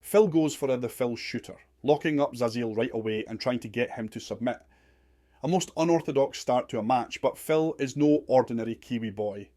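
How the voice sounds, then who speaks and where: Man as a mellow, florid wrestling commentator. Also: mid-range voice, normal speed, no echo, clean studio sound, natural voice. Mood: dramatic commentary. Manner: florid, dramatic phrasing. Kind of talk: parody wrestling radio commentary.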